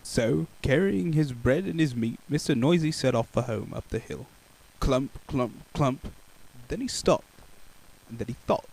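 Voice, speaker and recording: A man reading a children's picture book aloud in a playful, expressive way. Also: medium pitch, unhurried, creaky, breathy edge, low-quality recording. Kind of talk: animated storybook read-aloud.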